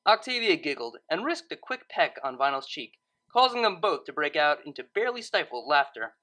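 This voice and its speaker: A woman reading scripted fiction as narrator in an expressive storytelling manner. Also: sits low, a little fast.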